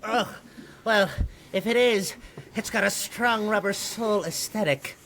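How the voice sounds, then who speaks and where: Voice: medium pitch, measured pace, fairly bright tone, slight background noise, low-quality recording. An actor voicing a wry, chatty piece of bubble gum, the optimist of a group in a comedic audio drama. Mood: wry, soothing. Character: soothing, sardonic, optimistic team-minded piece of bubble gum.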